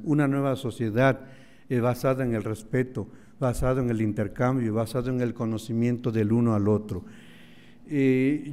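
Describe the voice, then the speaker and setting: A man's voice: medium pitch, dark tone, clean tone. A man whose first language is Mazatec giving an earnest formal opening speech, piling up lists with many fillers. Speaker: director of a national institute, speaker. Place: auditorium. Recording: lecture hall with long reverb.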